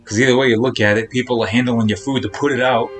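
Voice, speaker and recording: A man's voice: medium pitch, steady tone, fast talker, fairly bright tone. A man chatting casually and informally as a game streamer, meandering from thought to thought. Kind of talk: casual rambling stream chat while playing. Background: game music.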